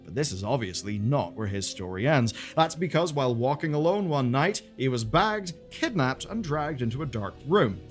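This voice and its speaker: A man presenting game lore as dramatic scripted narration, with pointed pauses and colloquial asides. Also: mid-range voice, lively intonation, faint music behind.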